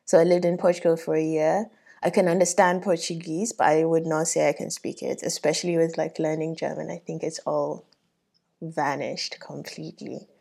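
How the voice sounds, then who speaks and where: A woman's voice: sits low, little pitch movement, moderate pace, gravelly, a little mellow. A woman from Ghana in casual, self-deprecating conversation. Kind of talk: casual chat.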